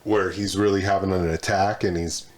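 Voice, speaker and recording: A man's voice: low voice, flat delivery, moderate pace, darkish tone. A man co-hosting a podcast in casual chat, joking and swearing as he tells stories. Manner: profane, joking, storytelling. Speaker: podcast co-host.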